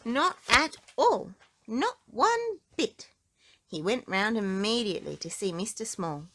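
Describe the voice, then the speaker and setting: A woman reading a children's book aloud in an expressive storytelling style, drawing out words for emphasis. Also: a little high, slow pace, slight background noise.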